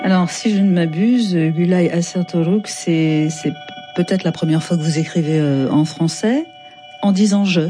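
A woman's voice: on the low side, normal speed, music in the background. A woman hosting a conversational literary interview, engaged and probing as she puts questions to her guest. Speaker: interviewer, book programme host.

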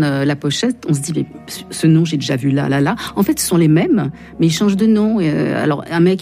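A woman hosting a radio interview, asking long questions and often pausing to search for words. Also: low-pitched, dark tone. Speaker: radio host.

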